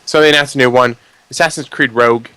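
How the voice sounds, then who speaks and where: एक युवा आदमी, podcast host, मज़ाकिया और बेबाक अंदाज़ में खुलकर गालियाँ देते हुए बात करता है।. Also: male voice, mid-range voice, fairly even intonation, fast talker, sharp tone, clean tone, no room sound. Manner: brash, joking, swears freely. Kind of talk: casual chat.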